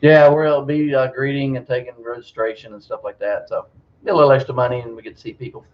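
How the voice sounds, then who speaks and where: A man's voice: medium pitch, fairly even intonation, quick pace, lo-fi sound. A man with a Southern US drawl, co-hosting a home livestream, chatting in a joking, folksy way. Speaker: co-host, retiring from his job. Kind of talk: casual chat.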